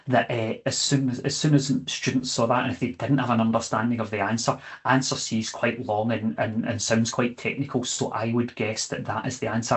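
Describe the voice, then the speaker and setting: Chatty male chemistry teacher. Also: medium pitch. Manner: conversational, checks in with "okay?" and fills pauses with "eh". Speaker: chemistry teacher giving a training session. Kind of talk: online webinar presentation.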